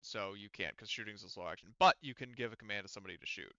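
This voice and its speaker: A man acting as game master, explaining rules and turns in a halting, explanatory way over an online session. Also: lowish voice, pushed and bright, clean tone, dry recording, lo-fi sound.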